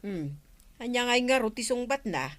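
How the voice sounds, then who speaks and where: A woman with an Ilocano accent playing an excitable, fretful villager in a radio comedy drama. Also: a little high, moderate pace. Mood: complaining.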